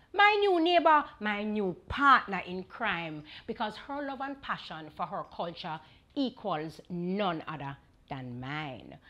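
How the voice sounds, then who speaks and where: A woman's voice: mid-range voice, sharp tone. A Jamaican woman hosting a culture show, speaking to her audience in an exuberant, theatrical way with sing-song emphasis. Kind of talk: TV presenting to audience.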